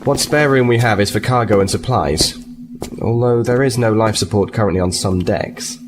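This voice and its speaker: An actor playing a seasoned Starfleet officer in an audio drama, composed and matter-of-fact. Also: male voice, fairly low pitch, normal speed, background noise, close to an everyday voice.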